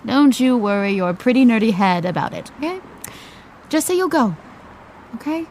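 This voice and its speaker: A woman's voice: fairly high pitch, very animated, moderate pace, darkish tone, slight background noise. A woman playing a pushy, playful young best friend in an audio drama, coaxing and insistent. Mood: persuasive, playful. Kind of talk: naturalistic audio drama dialogue.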